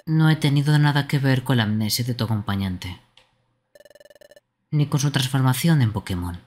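A man's voice: medium pitch, normal speed, darkish tone. A man co-streaming a live fan dub, reading game dialogue with casual asides. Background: game music.

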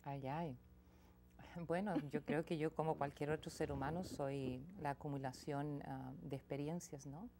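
Soft-spoken woman, lower voice. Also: steady tone, measured pace, slight background noise. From Chile. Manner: reflective, soft-spoken, with frequent restarts. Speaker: guest singer-songwriter. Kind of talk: casual interview conversation. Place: small TV studio set. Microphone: lapel mic.

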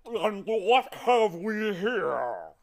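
A narrator voicing a huge gelatinous giant in a storybook, roaring slowly with hungry curiosity. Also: medium pitch, very animated, voice pushed up, heavily acted. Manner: slow, loud roaring.

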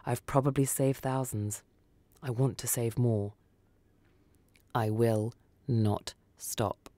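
A woman's voice: a bass voice, steady tone, takes it slowly, warm tone, no echo. A woman reading a first-person short story for radio as scripted narration. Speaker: story reader.

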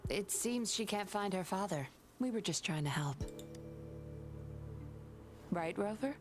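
A woman's voice: medium pitch, animated, unhurried, natural voice. A woman voicing a sly, composed young woman who teases and stays in control, in a game with music behind. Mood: smooth deflecting. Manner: smooth, teasing, in control.

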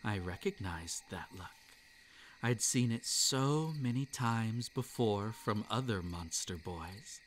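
A man's voice: on the low side, animated, slow, slight background noise. A man voicing a male kitsune spirit in an audio roleplay, gleeful and menacing as he savors each word. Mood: ominous. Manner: relishing, teasing storyteller.

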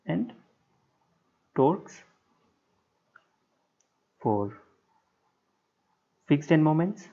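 Slow, mellow-voiced male lecturer. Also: fairly high pitch, big pitch swings, vocal fry. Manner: halting, measured, explains in short phrases. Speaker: engineering lecturer. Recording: dull lo-fi sound.

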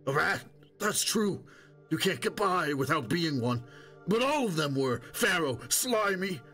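A man voicing a wounded, wheedling old stalker in an audiobook, boastful and desperate, with a hoarse, strained, pleading voice. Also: on the high side, very animated, unhurried, bright, forceful tone, soft background music, voice pushed up, full character voice. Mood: boastful, insistent. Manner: pleading, moaning, insistent.

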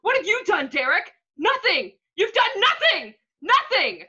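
Woman as a shrill, high-pitched ranting fashion villain. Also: big pitch swings, unhurried, bright, forceful tone, full character voice. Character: ranting, egomaniacal villainous male fashion mogul. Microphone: video call. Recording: muffled lo-fi video call audio.

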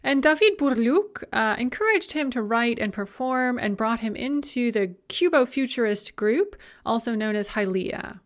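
A middle-aged woman lecturer speaks in a slightly high voice over slides. She talks conversationally and drops in filler sounds between her phrases. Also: expressive, moderate pace, few pauses. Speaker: university lecturer.

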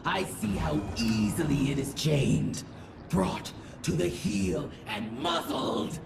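Gravelly, echoing alien war goddess. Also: female voice, sits low, big pitch swings, full character voice. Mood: fierce defiance. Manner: grandiose, slow, theatrical, swinging from whispers to shouts. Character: vengeful alien war goddess, grieving, zealous, menacing. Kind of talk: dramatic game audio-log monologue. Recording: echoey, distant voice with music underneath.

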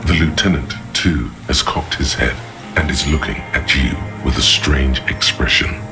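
An actor voicing a cerebral, observant inner-voice narrator in a video game, measured and literary. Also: male voice, rumbling low. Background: music.

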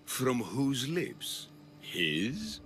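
Theatrical, swooping Athenian demagogue. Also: male voice, medium pitch, huge pitch swings, very slow, fairly bright tone, soft background music, full character voice. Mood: insinuating. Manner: oratorical, insinuating, grandiose. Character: scheming, theatrical populist male politician of ancient Athens. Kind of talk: dramatic video game dialogue.

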